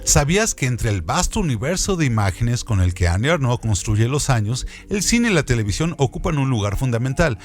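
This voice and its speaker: A man's voice: mid-range voice, sing-song, fairly quick, few pauses. A man co-hosting a literary radio show, reading scripted cultural analysis in a rhetorical, expository manner.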